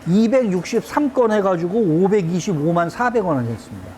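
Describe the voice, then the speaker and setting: A man's voice: high voice, sing-song, fairly quick, darkish tone. A man in his fifties, a taxi driver, answering interview questions in an easygoing way and chuckling often. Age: 50s.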